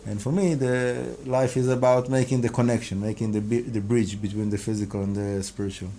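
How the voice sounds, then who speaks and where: A mellow-voiced man whose first language is Hebrew, speaking English as he answers an interviewer. He talks earnestly about his teaching and often breaks off mid-phrase to restart. A faint background hiss runs under the recording. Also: mid-range voice, little pitch movement. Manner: halting, restarts and repeats words often. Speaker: rabbi and kabbalah teacher.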